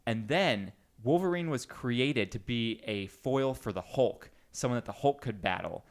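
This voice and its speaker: A man hosting a casual group podcast, chatting in an animated, jokey way. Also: mid-range voice, a little slow. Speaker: podcast host.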